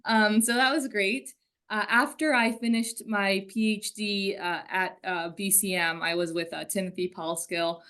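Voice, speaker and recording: A woman's voice: medium pitch, steady tone, a little slow, fairly bright tone. Conversational woman from Colombia. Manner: conversational, storytelling with asides. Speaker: career panelist. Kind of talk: panel talk.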